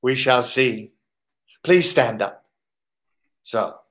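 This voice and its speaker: A man playing a courteous but menacing foreign gentleman crook in a 1940s-style radio drama, with formal, deliberate phrasing. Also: medium pitch, lively intonation. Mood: quietly menacing.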